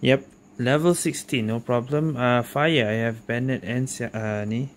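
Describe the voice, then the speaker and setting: A woman's voice: rumbling low, unhurried, a little hiss or hum. A woman giving casual, amused gameplay commentary, reacting hesitantly and reading out on-screen text. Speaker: gaming video creator.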